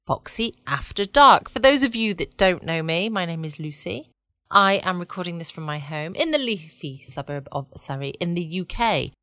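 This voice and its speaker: A woman's voice: low-pitched, fairly bright tone, no echo, studio quality. A woman with an English accent hosting a late-night bedtime podcast in a cosy, chatty way with playful asides. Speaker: podcast host. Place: at home, close and dry-sounding room.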